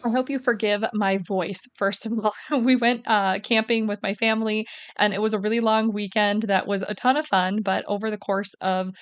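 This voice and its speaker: A woman hosting a podcast, speaking in a casual, encouraging way, with a voice that is raspy and tired from losing it. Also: medium pitch, fairly even intonation, normal speed, soft background music. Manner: warm, encouraging, repeats thanks. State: hoarse, losing her voice. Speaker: podcast host. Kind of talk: casual solo podcast talk.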